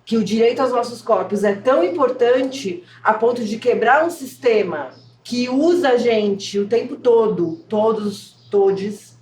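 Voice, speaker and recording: A woman's voice: fairly high pitch, a little slow, darkish tone. A woman from a theater company speaking passionately and searching for her words in a casual discussion. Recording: distant mic in an echoey, noisy room.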